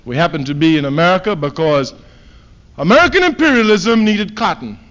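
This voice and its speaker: A man from Trinidad giving a fiery political speech, emphatic and repeating his phrases, swinging from loud declarations to low asides. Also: lively intonation, brisk. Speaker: political speaker. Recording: dull lo-fi archive recording.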